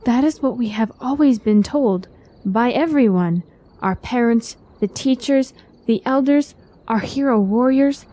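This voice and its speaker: A woman's voice: a little high, measured pace, warm tone, natural voice. A woman playing an anxious, devoted young-world fantasy queen in a radio drama, speaking earnestly and with worry. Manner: earnest, worried.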